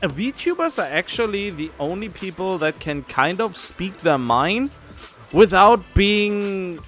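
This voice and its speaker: A man's voice: high voice, lively intonation, takes it slowly, a bit bright, soft background music. A man streaming a game with casual, rambling commentary, thinking aloud as he chats. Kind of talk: casual chatty livestream commentary.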